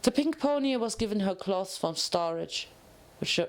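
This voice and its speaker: Female story narrator, mid-pitched, amateur dramatic reading with occasional stumbles. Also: expressive, normal speed. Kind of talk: scripted fan fiction narration.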